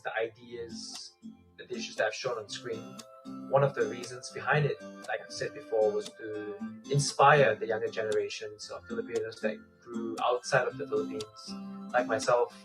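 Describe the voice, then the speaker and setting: A man's voice: medium pitch, fairly even intonation, moderate pace, over music, low-quality recording. A man from Singapore explaining the ideas behind his dishes, earnest and repeating words as he goes.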